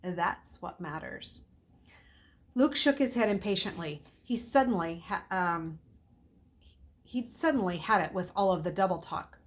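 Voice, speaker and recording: A woman's voice: mid-range voice, expressive, normal speed. A woman reading a children's novel aloud as a storyteller, with dramatic, emphatic delivery.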